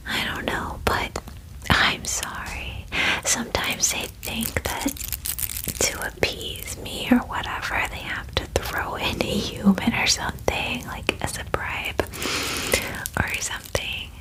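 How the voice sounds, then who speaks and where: A woman voicing a friendly, chatty female dragon in a breathy ASMR whisper, gushing and reassuring like a best friend. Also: slow pace, close to an everyday voice, low-quality recording. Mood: sympathetic, apologetic. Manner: gushing bestie talk, casual fillers, reassuring. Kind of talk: whispered ASMR roleplay, personal attention.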